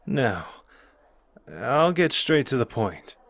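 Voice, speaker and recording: A man's voice: mid-range voice, sing-song, slow, creaky, fairly bright tone, no echo, heavily acted. A man playing a cocky, playful young sorcerer who is protective underneath, talking casually and intimately to the listener in an ASMR roleplay. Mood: earnest, persuading. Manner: casual, teasing, intimate and conversational.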